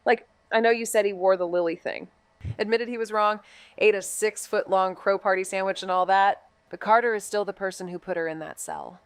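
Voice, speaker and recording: A woman's voice: mid-range voice, fairly even intonation, a little fast, smooth, no echo, natural voice. An actress playing a blunt, sardonic young woman detective's sidekick in a noir audio drama, casual, skeptical and quippy. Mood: distrustful, quippy.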